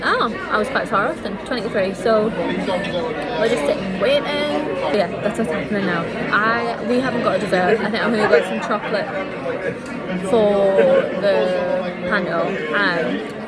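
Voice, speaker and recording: A woman's voice: medium pitch, unhurried, over a noisy crowd. A young woman from the UK chatting casually to camera in a rambling, informal way as she vlogs. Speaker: vlogger. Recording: very noisy, busy background, camera microphone.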